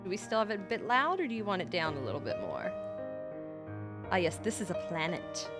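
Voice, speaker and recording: A woman's voice: on the low side, very animated, brisk. A woman hosting a livestream, speaking in a casual, rambling way as if thinking aloud. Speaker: livestream host, VTuber. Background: background music.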